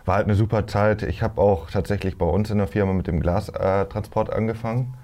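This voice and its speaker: A man's voice: lowish voice, steady tone, fast talker, dark tone. A man in his thirties chatting casually as a trainee guest on a podcast. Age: 30s. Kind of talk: casual chat.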